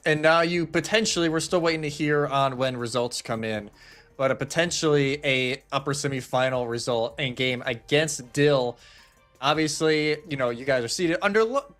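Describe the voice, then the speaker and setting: A man's voice: fairly high pitch, a bit bright. A man giving casual esports match analysis and interviewing on a broadcast desk.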